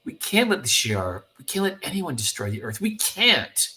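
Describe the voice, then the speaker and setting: A man voicing an excited, earnest teenage girl superhero from a comic book, full of eager wonder. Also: fairly high pitch, moderate pace. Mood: awed, earnest.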